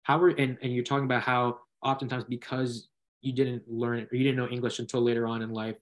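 A man's voice: mid-range voice, flat delivery, a little fast. A man from the United States hosting a casual interview, with wordy, roundabout phrasing. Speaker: interview host.

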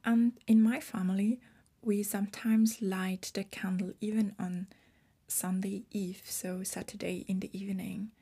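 A woman's voice: mid-range voice, flat delivery, measured pace, few pauses, dark tone, breathy edge. A woman in her twenties hosting her own podcast, explaining things carefully and correcting her wording as she goes. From Switzerland. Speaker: podcast host.

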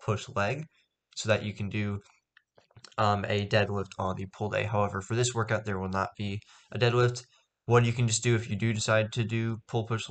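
A man's voice: fairly low pitch, a bit bright. Stuffy-nosed young man rambling on a podcast. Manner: rambling, many ums and restarts. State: stuffed up nose. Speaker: podcast host. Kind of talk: casual solo podcast talk. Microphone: poor microphone.

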